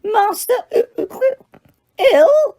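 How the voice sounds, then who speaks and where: A woman voicing a drunk, weepy female house elf who squeaks and slurs through tears. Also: very animated, drawn-out words, dark tone, heavily acted. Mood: tipsy tearful. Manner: squeaky, slurred, hiccuping and sobbing.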